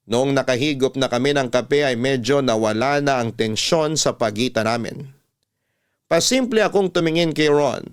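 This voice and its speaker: A man narrating a sentimental confessional story in a measured, scripted radio drama style. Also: medium pitch. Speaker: storyteller host reading a listener's letter.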